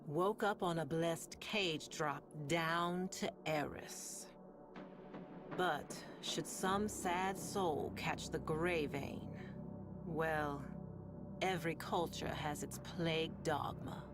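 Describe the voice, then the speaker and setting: A woman playing a sardonic, world-weary radio host in a sci-fi game, telling stories in a deliberate, ominous, wry way with long pauses over music. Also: low voice. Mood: ominous storytelling. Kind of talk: slow dramatic monologue to listeners, storytelling.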